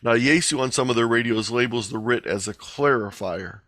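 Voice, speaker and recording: A man's voice: mid-range voice, normal speed, fairly bright tone, dry recording. Dry-sounding man teaching step by step. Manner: instructional, plain-spoken, step by step. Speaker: instructor, presenter. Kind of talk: explanatory slide lecture, lightly extemporized. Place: online video-call webinar. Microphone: video call microphone.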